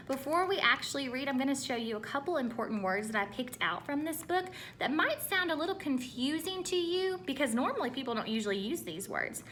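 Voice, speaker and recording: Animated, bright, high-voiced woman teaching children. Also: slight background noise. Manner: animated, instructive, asks listeners questions. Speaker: elementary school teacher. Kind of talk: read-aloud lesson for children.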